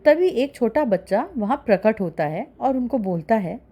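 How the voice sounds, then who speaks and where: High-pitched woman telling a children's story. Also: animated, moderate pace, mellow, husky.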